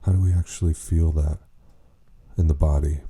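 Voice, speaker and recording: A man leading a guided meditation, speaking in an unhurried, gently questioning way with long pauses. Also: a bass voice, fairly even intonation, husky. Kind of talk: slow spoken guided meditation.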